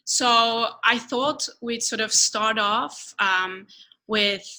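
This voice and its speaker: A woman moderating a webinar, reading a guest's bio and then asking questions in a polite, slightly halting way. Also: on the high side, sharp tone.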